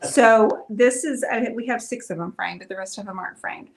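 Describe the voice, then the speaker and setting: A woman's voice: fairly high pitch, brisk. Chatty woman painter talking to viewers. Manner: chatty, friendly, talks to viewers with many fillers. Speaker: painter hosting a live stream. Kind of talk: casual live-stream chat while painting.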